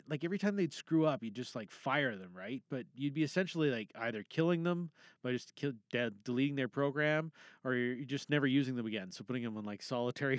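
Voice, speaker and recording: A man's voice: mid-range voice, no room sound, clean studio sound. A man hosting a podcast in a casual, rambling solo chat, chuckling through his asides. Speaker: podcast host.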